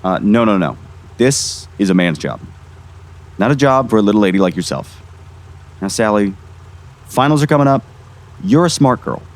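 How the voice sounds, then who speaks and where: A man's voice: fairly low pitch, animated, moderate pace, long pauses, close to an everyday voice. A man playing a weary small-town sheriff in an audio drama, folksy, patronizing and dismissive. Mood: condescending. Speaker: voice actor.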